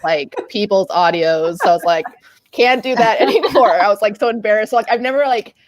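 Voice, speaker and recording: A woman's voice: mid-range voice, a little fast. A woman chatting casually on a book panel, her sentences broken up with lots of "like". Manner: hesitant phrasing with frequent "like". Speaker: livestream book chat panelist. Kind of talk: casual chat.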